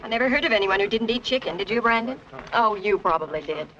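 A woman's voice: medium pitch, animated, fast, a bit bright, lightly acted. Prim, chatty society lady played by an actress, muffled 1940s film sound. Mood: prim surprise. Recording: dull old film soundtrack.

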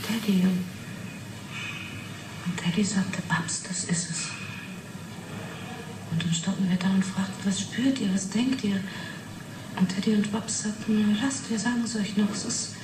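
An actress playing a matter-of-fact yet fascinated witness in a German radio play, halting and reflective. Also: female voice, mid-range voice, fairly even intonation, lightly acted. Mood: intent, fascinated. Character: young woman witness recounting an uncanny experiment, matter-of-fact yet fascinated. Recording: roomy 1980s radio play, noisy background.

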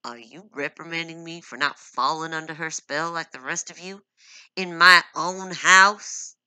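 Storybook: woman narrator doing a brooding, harsh husband, bright and close, nothing roomy. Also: fairly high pitch, sing-song, unhurried, exaggerated voice. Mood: harsh, angry. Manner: harsh, clipped, through gritted teeth. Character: bitter hot-tempered husband, harsh and brooding.

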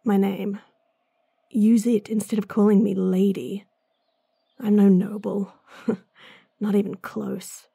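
A woman voicing a gruff, impatient female bounty hunter in a fantasy audio drama, blunt and sardonic, sighing often. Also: medium pitch, little pitch movement, slow, long pauses, mellow, no room sound, clean studio sound, exaggerated voice. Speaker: voice actress.

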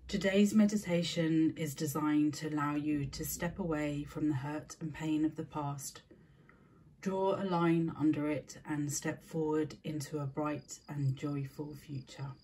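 A woman leading a scripted guided meditation in a soothing, deliberate manner, with short spaced phrases. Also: low voice, steady tone, mellow, slight background noise.

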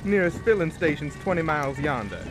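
Actor as a drawling, sinister Southern country host. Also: male voice, a little high, expressive, brisk, music in the background, lightly acted. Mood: folksy, guarded. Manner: drawling, folksy hospitality. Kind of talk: radio-style horror drama acting.

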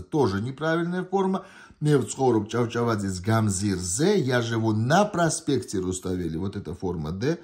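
Male tutor, mid pitch, very lively intonation, hesitant, self-correcting. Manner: patient, thinks aloud with hesitations and self-corrections. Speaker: language tutor. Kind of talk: explaining test answers while reading a printed sheet. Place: indoors at a desk. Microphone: phone camera pointed down at a sheet held in hand.

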